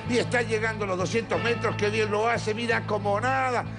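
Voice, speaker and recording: Older man playing flowery contest commentator for a TV comedy joke, pitched up high, stretched exclamations, music behind. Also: steady tone. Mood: excited. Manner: gushing, drawn-out exclamations. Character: excitable, flowery Argentine male swimming contest announcer. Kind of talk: joke character, sports commentary.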